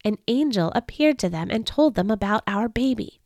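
A gentle, tired young man, as a woman reading a storybook puts him on, earnest and soft-spoken. He is heard close and dry, with no room around him. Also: a little high, lively intonation, moderate pace, no echo.

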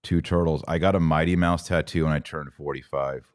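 Deep-voiced man in his forties, blunt. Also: fairly even intonation, brisk, dry recording, clean studio sound. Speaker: podcast host. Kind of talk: casual livestream talk, reading and answering viewer comments.

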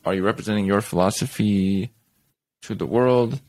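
Low-voiced, enthusiastic male podcast host. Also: darkish tone, no room sound. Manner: enthusiastic, quick to praise, informal. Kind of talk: casual interview.

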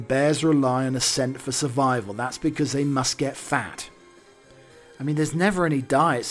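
Croaky man hosting a comic podcast. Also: medium pitch. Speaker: podcast host. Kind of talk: scripted comic monologue and readings.

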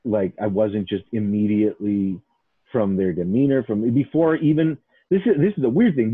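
A man's voice: medium pitch, husky, dry recording, narrow, tinny audio. A man from Chicago's north side hosting a casual podcast chat, emphatic and rambling, full of restarts and "like". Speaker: podcast host.

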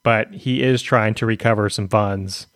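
A man's voice: on the low side, fairly even intonation, a little slow, a bit bright, clean tone, no room sound, clean studio sound. A man hosting an education podcast, talking in a chatty, conversational way with frequent fillers. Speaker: podcast host. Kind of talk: podcast interview.

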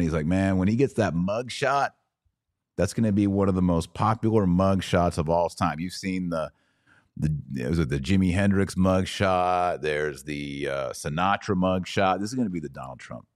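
An American man hosting a podcast, giving casual, conversational political commentary live, with emphatic, drawn-out phrasing and rhetorical questions. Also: low voice, darkish tone, no room sound, studio quality. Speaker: podcast host.

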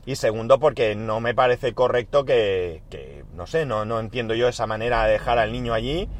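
A man's voice: mid-range voice, normal speed. Man with a sore throat musing in his car. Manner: rambling, self-correcting, thinks aloud with many fillers. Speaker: podcast host recording a daily audio diary. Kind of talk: casual spoken diary monologue. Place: inside a car.

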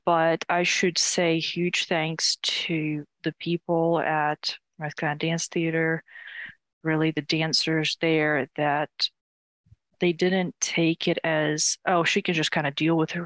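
Woman from ukraine, 20s, lowish voice, chatty interview guest, dry close sound. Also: little pitch movement, unhurried, fairly bright tone, no echo. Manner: candid, laughs often, frequent restarts. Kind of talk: casual interview.

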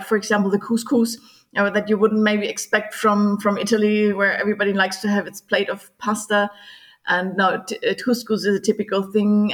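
German-accented woman, laughing often. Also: medium pitch. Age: middle-aged. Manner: warm, laughs often, hesitant with fillers. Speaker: tour guide. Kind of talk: podcast interview guest. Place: home in Sicily, remote call.

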